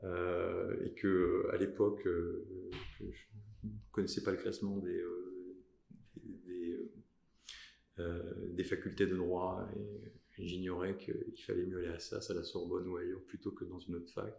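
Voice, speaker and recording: Low gravelly man, roomy sound. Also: flat delivery, darkish tone. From southern France. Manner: halting, many fillers and restarts. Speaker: lawyer guest. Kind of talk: podcast interview, recounting career.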